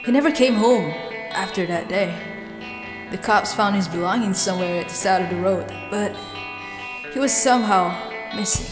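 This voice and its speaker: An actress playing a stubborn, emotional young woman singer in an animated motion comic, with dramatic delivery. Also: medium pitch, lightly acted.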